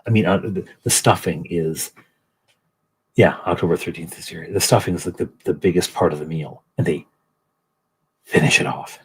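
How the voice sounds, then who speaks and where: A man with a deep voice, low in pitch and husky. He chats casually on a livestream and often drops into quiet mutters and whispered asides as he works. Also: normal speed, pauses often. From Canada. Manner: soft, muttering to himself, drifting between quiet asides and chat. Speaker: livestream host painting miniatures. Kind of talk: casual chat while painting.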